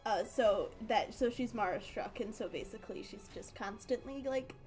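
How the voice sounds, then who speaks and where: A woman's voice: fairly high pitch, moderate pace, a little mellow, faint music behind. A woman livestreaming a game, chatting casually in an informal, giggly, rambling way. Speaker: game streamer.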